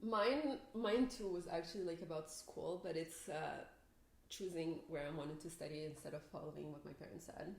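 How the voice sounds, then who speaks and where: A woman's voice: low-pitched, normal speed, gravelly. A young woman co-hosting a podcast, chatty and giggly, leading the questions in casual talk. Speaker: podcast co-host. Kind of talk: casual chat. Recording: echoey room.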